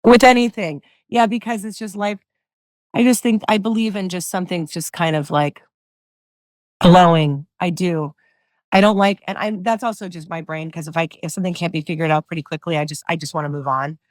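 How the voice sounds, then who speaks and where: A middle-aged woman with a low voice, a singer-songwriter guest chatting loosely in an interview, full of fillers and restarts. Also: steady tone, a little fast, no echo. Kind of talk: casual interview chat.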